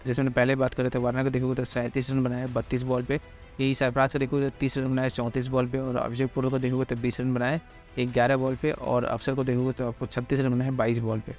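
A man giving a quick, casual cricket pitch analysis, talking straight to viewers with lots of filler phrases. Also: completely flat delivery, a little mellow, faint music behind. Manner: rapid, heavy filler phrases, addresses viewers directly. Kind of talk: fast casual explainer over slides.

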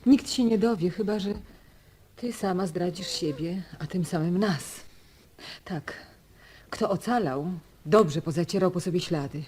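An actress playing a solemn, protective aunt in a radio drama. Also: female voice, fairly low pitch, unhurried, darkish tone, slight background noise, lightly acted. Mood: soothing, cautioning. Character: protective, solemn aunt guarding the family secret. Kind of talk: Polish radio drama acting.

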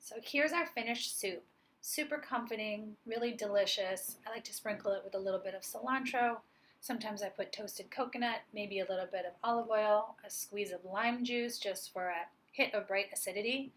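A woman's voice: mid-range voice, moderate pace, fairly bright tone. A woman in her forties, a chef, giving a friendly, upbeat cooking demonstration and explaining each step plainly. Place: home kitchen, indoors.

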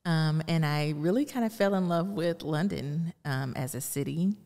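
A woman's voice: low-pitched, normal speed, dark tone. A middle-aged American woman from Texas giving casual conversational answers as an interview guest. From Houston, Texas, United States. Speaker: art curator, interview guest. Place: indoor art gallery. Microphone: close podcast mic on boom arm, headphones. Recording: reverberant gallery room.

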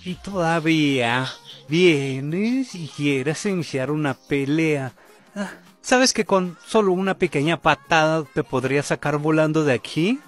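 Scornful, vain older puppet brother played by a man, fandub drama, lively higher-pitched voice, taunting and exasperated. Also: measured pace. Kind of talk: amateur Spanish fandub roleplay acting. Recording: loud music under the voice.

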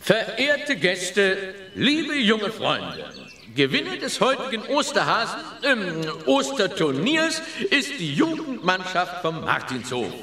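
Pompous high-voiced nobleman, echoing. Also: big pitch swings, heavily acted. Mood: ceremonious. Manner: pompous, formal, drawn-out, bumbling. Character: stiff, pompous middle-aged nobleman making a speech. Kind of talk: children's audio drama acting. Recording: echoey, distant outdoor speech, loud crowd.

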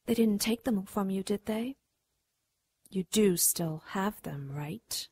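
An ancient demon queen for a radio play. Her voice is husky and recorded close and dry, with honeyed cruelty that hardens into imperious, mocking command. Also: mid-range voice, expressive, slow, a little mellow, dry recording, exaggerated voice. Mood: sweetly coaxing. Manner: honeyed coaxing turning to haughty command. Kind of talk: radio drama acting.

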